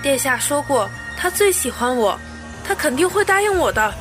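A woman playing a brave, gentle, innocent little boy prince in a Chinese audio drama. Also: high-pitched, big pitch swings, moderate pace, music in the background. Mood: earnest, pleading.

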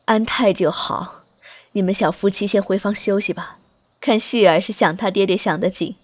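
An actress playing a doting grandmother in a Chinese period audio drama, coaxing and unhurried. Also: medium pitch, no room sound, natural voice. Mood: kindly. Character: doting grandmother in ancient China.

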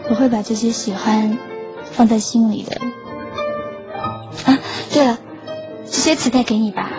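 A soft, wistful teenage schoolgirl telling her story in an audio drama, voiced by a woman. Her voice sits a bit high. The sound is dull and lo-fi, with clear music underneath. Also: steady tone, normal speed, natural voice. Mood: gentle. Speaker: lead and narrator. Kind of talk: audio drama acting and narration.

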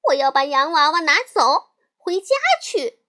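A woman voicing an angry, bossy little girl bear cub who shouts indignantly. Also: high-pitched, a little slow.

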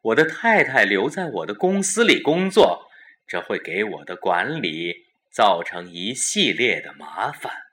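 A man's voice: lowish voice, sing-song, measured pace, sharp tone, full character voice. A man playing a domineering, impatient older company-boss husband, overbearing and exasperated, in a Chinese audio drama.

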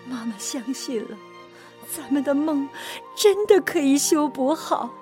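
A woman's voice: high-pitched, lively intonation, unhurried, a little mellow, faint music behind. An actress playing a devoted, long-suffering middle-aged mother in a radio drama, with a tender, emotive, pleading delivery. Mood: overjoyed, moved.

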